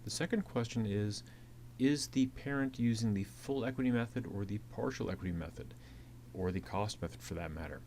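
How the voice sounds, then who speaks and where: Guy with a lowish voice teaching step by step, repeats himself, faint noise and hum behind him. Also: brisk, a little mellow. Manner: methodical, repeats points. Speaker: accounting instructor. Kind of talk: explanatory lecture narration over slides. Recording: clearly audible hiss and hum.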